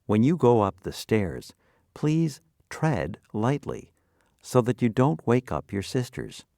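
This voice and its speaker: A man's voice: mid-range voice, sing-song, slow pace, gravelly, warm tone, dry recording, studio quality. A man narrating a scripted vocabulary lesson, reading out definitions and example sentences.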